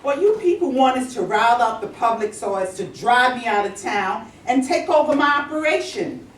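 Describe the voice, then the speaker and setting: A woman's voice: a little high, animated, moderate pace, lightly acted. A woman playing an indignant, domineering male factory boss in a blustering, affronted manner at a staged play reading. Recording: echoey room, distant mic, faint noise.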